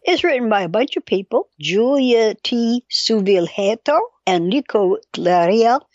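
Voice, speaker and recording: A woman's voice: on the high side, lively intonation, measured pace, darkish tone, no room sound, lo-fi sound. An older woman with a wry, digressive manner, casually explaining and reading aloud as a guest expert. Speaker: psychologist guest.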